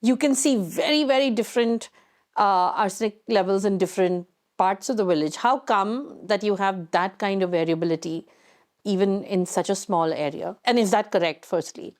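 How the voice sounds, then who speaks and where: A woman's voice: mid-range voice, normal speed, a little mellow. A woman hosting a conversational technical interview, inquisitive and thinking aloud as she frames her questions. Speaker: interviewer, host.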